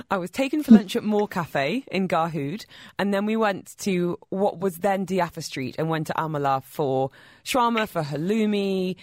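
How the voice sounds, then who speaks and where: A woman's voice: fairly low pitch, no room sound. Conversational woman radio host, dry studio. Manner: friendly, conversational interviewer. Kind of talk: radio talk show hosting.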